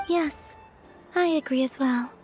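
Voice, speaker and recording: Game role: gentle young maiden, serene, softly playful, very unhurried, high soft voice. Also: female voice, faint music behind. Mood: serene, wistful. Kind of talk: video game voice acting.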